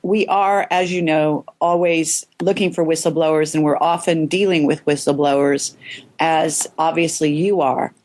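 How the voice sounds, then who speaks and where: A woman with a very low voice, hoarse from a cold. She hosts an interview in a loose, conversational way and stumbles over the first words of her sentences. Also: steady tone, moderate pace, darkish tone. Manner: hesitant, repeats words while starting sentences. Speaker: interview host.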